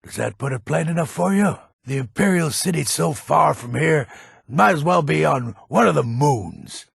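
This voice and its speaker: A crotchety, distrustful old man from a fantasy video game. His voice is full of gravel and fry, with a worn, husky rasp. He grumbles warily and emphatically, and his pitch swings around in a very animated way. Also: moderate pace, no room sound, clean studio sound, heavily acted. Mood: defiant, grumbling. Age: older. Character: grumpy, suspicious old male villager in a fantasy game.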